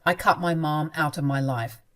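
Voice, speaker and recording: Woman voicing a soft, pleading young husband. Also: deep voice, moderate pace, pitched below the performer's own voice, natural voice. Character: young husband torn between wife and mother.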